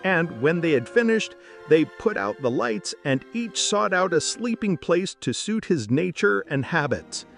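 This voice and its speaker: A man's voice: high-pitched, very animated, a little slow, gravelly, a bit bright, faint music behind. A man reading scripted bedtime fairy-tale narration as a storyteller.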